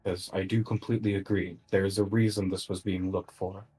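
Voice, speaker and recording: A man playing an earnest, cautious adventurer in a tabletop game, speaking in a measured, insistent way. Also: deep voice, level tone, warm tone, breathy edge. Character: earnest, cautious male adventurer arguing firmly for safety.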